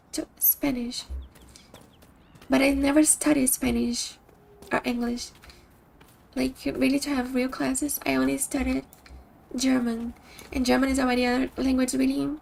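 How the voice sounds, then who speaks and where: A woman's voice: high-pitched, flat delivery, moderate pace, vocal fry, pauses often, darkish tone. A woman from Brazil with a Brazilian Portuguese accent, a streamer chatting casually with her viewers and often restarting sentences as she searches for words.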